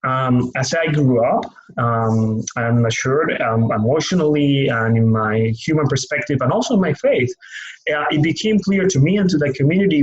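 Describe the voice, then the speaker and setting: A man's voice: medium pitch, lo-fi sound. A young man from Cuba with a Cuban Spanish accent, a Spanish native speaker, speaking thoughtfully and searching for words as he reflects on his life in a personal interview. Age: young adult. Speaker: lay pastoral care worker.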